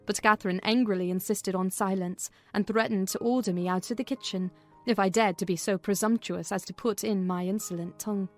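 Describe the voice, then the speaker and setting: A woman giving an expressive scripted narration of a classic novel. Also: mid-range voice. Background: music.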